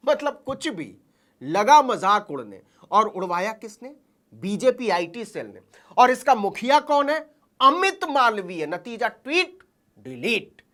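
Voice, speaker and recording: A woman's voice: on the high side, fairly bright tone. A woman delivering satirical political commentary as a news commentator, with a mocking, theatrical delivery.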